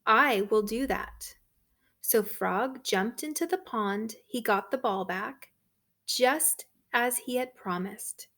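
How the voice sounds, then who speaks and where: A woman reading a story aloud to children, expressive and deliberate. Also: on the high side. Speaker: storybook reader for third graders.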